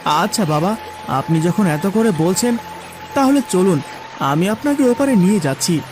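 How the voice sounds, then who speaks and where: A man's voice: high voice, expressive, normal speed. A man playing a poor, kind-hearted boatman in a cartoon, earnest and plaintive. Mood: kind, resigned. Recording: music behind the voice.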